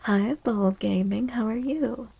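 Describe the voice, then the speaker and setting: A woman hosts an ASMR tarot stream in a soft, near-whispered voice. Her delivery is slow and gentle, with a dark, relaxed tone and plenty of creak at the edges. She sounds reassuring and speaks close to the microphone. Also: a little high. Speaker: livestream host and tarot reader.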